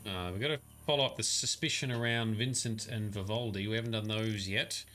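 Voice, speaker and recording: Bright-voiced man chatting over gameplay. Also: on the low side, a little fast, slight background noise. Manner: chatty, self-correcting, chuckles often. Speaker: streamer. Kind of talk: live gameplay commentary.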